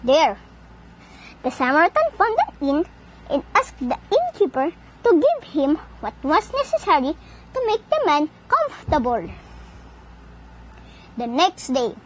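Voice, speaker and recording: Female storyteller, very high voice, lively intonation, deliberate read-aloud delivery for children. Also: rough recording.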